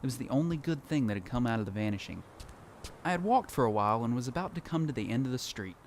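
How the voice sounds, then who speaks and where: A man's voice: medium pitch, expressive, quick pace, a little hiss or hum, lightly acted. A man playing a lonely, reflective survivor who narrates his post-apocalyptic journey in a scripted audio drama. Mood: wistful.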